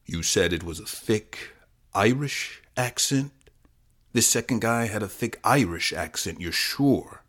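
Man as police interrogator, low-pitched, unhurried, skeptical, smirking, probing, crime drama. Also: lively intonation, fairly bright tone, slightly rough, heavily acted. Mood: measured, probing. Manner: slow, skeptical, leading.

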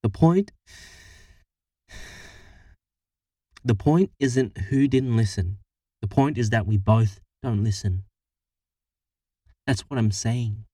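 A man voicing an exasperated woman who is fed up with her partner, with sharp, frustrated outbursts. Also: fairly low pitch, measured pace, long pauses, dry recording, studio quality, far from the mic.

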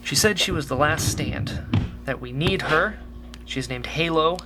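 A man playing a caring, curious young male rebel in a sci-fi radio drama, speaking gently and thoughtfully. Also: fairly high pitch, measured pace, background noise, lightly acted. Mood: earnest, curious.

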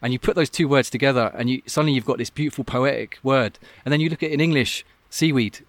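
A man's voice: medium pitch, fast talker, dry recording. A man who works as an open-water swim coach, talking earnestly and thoughtfully in a casual podcast interview, often restarting his sentences. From Scotland.

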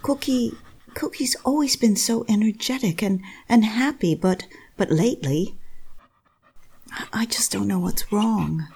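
A woman playing an anxious, defensive pet owner in an audio drama, husky and halting, with a stammer of worry. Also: mid-range voice, warm tone. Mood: worried.

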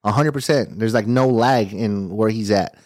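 A man's voice: fairly low pitch, normal speed, a little mellow, no room sound. A man in his thirties co-hosting a podcast in casual, rambling chat, swearing often and saying "dude" a lot. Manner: profane, laid-back, rambling with lots of "dude". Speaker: podcast co-host.

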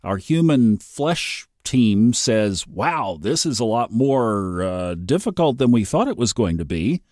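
A man's voice: on the low side, big pitch swings, takes it slowly, a little mellow, dry recording, clean studio sound. A man in his fifties hosting a daily astrology podcast, chatty and upbeat, laughing easily. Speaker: podcast host.

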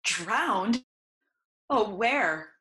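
A woman's voice: medium pitch, expressive, extremely slow, pushed and bright, slight background noise, full character voice. Woman playing a vengeful young nobleman, roomy sound. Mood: stunned sorrow. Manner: intense, declamatory. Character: vengeful, grieving young nobleman, hot-tempered son. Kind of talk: Shakespearean audio play acting.

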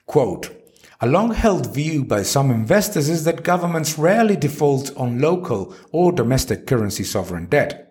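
A man's voice: medium pitch, a little mellow. A man reading an opinion article aloud in an emphatic, argumentative way, as scripted commentary.

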